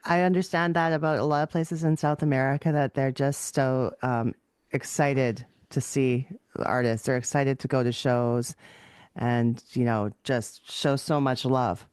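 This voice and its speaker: A woman's voice: extremely low pitch, fairly even intonation, measured pace, a little mellow, clear, resonant tone, no room sound, clean studio sound. A woman hosting a podcast, asking questions in a casual, conversational way. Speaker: podcast host, interviewer.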